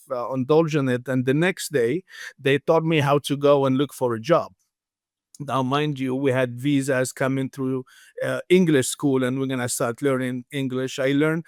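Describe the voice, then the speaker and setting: Smooth-voiced Moroccan man in his fifties. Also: medium pitch, no room sound, studio quality. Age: 50s. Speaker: interview guest, restaurant founder. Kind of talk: conversational personal storytelling.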